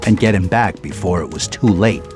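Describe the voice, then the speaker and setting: An actor voicing a gruff, sardonic mammoth father in a cartoon game, telling a bedtime story with wry, dramatic delivery. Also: sits low, brisk, close to an everyday voice. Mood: storytelling. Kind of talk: animated storytelling to a young child. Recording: loud music behind the voice.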